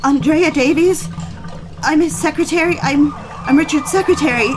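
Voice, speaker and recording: An actress playing a frantic, devoted young secretary in an audio drama, pleading in halting, breathless phrases. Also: female voice, sits high, heavy background noise, lightly acted. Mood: distraught.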